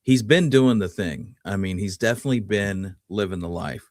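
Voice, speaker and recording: Deep-voiced man discussing history, dry sound. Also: moderate pace, no room sound. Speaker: podcast co-host.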